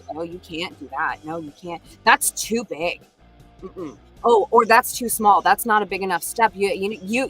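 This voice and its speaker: A woman's voice: mid-range voice, a bit bright. A woman giving a casual motivational talk, animatedly acting out the doubting voices in a person's head. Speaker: speaker sharing a self-talk exercise.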